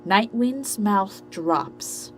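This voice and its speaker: A woman's voice: medium pitch, lively intonation, extremely slow, soft background music. A woman reading scripted narration for an amateur audio drama story.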